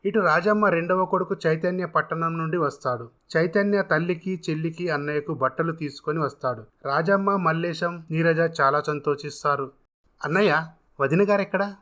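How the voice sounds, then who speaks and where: A man's voice: fairly high pitch, normal speed, hardly any pauses, a bit bright. A man narrating a scripted dramatic story as a storyteller.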